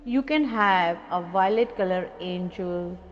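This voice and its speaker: A middle-aged woman with an Indian accent leads a guided meditation in a measured, soothing, instructive manner. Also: fairly low pitch. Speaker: meditation guide and spiritual healer. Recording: long reverb, dull lo-fi sound, faint noise.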